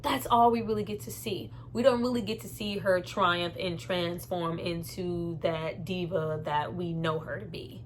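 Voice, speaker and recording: A woman's voice: on the low side, fairly even intonation, measured pace, hardly any pauses, a little hiss or hum. A woman giving a casual, opinionated movie review in a candid, conversational and emphatic way. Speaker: film reviewer and host.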